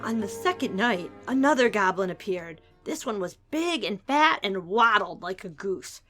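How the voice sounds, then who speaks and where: A woman's voice: medium pitch, lively intonation, normal speed, pushed and bright, faint music behind. A woman narrator giving a scripted, dramatic reading of a storybook tale.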